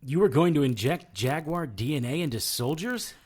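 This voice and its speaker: An actor playing a wisecracking, defiant noir investigator in an audio drama, dry and sardonic in dialogue and narration. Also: male voice, on the high side, lively intonation, normal speed, husky. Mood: groggy, questioning.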